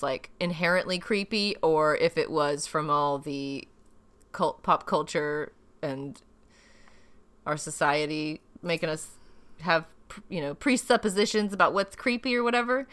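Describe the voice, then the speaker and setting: A woman's voice: deep voice, sharp tone, clear, resonant tone. A tired woman co-hosting a podcast in casual, rambling chat. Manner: rambling, laughs often. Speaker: podcast co-host.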